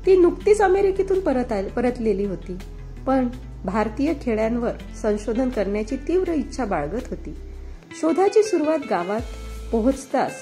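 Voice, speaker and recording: A woman narrating a scripted moral story in a dramatic, expressive storytelling manner. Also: fairly high pitch.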